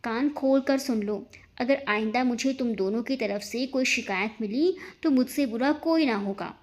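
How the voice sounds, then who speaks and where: A high-pitched, stern middle-aged aunt from an audio storybook, acted out by a woman narrator. She scolds with biting sarcasm and a sharp, no-nonsense temper. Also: normal speed, natural voice. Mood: angry.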